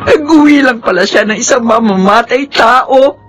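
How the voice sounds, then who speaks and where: A woman's voice: on the high side, moderate pace, lightly acted. An actress playing a resentful, anxious grandmother in a Filipino radio drama, plaintive and emotional as she mourns. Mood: tearful anger. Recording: music bed under the voice.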